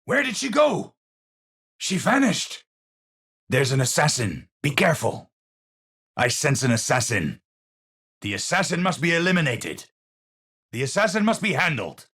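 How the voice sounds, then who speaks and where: An actor playing an eccentric, unstable scientist in a video game, speaking in a theatrical, formal and halting way. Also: male voice, on the high side, wildly swooping pitch, pushed and bright, breathy edge, heavily acted. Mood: wary, suspicious. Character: eccentric, unstable male scientist awed by the universe. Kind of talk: video game character voice lines.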